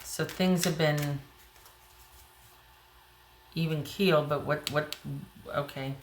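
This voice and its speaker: A woman reading tarot cards to camera in a casual way, a little hoarse, thinking aloud as she pulls the cards. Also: sits low, fairly even intonation, slow, echoey room, slight background noise, poor audio. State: slightly hoarse. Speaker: tarot reader.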